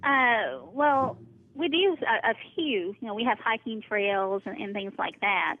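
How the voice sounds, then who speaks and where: Lively woman receptionist on a phone line. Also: medium pitch, unhurried. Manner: giggly, easygoing. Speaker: front desk receptionist. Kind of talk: phone conversation with a customer. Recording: tinny, narrow phone line.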